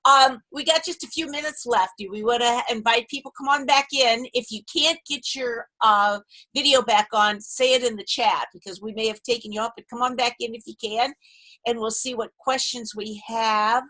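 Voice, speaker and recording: A woman's voice: fairly high pitch, moderate pace, sharp tone, poor audio. A woman hosting a casual video call workshop, telling stories in a chatty way. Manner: chatty storyteller. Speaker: workshop host.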